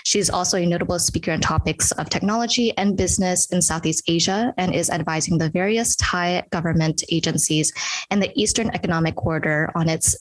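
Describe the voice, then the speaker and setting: A woman podcast co-host reading a guest's biography in a steady, formal manner. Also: on the low side, rough recording.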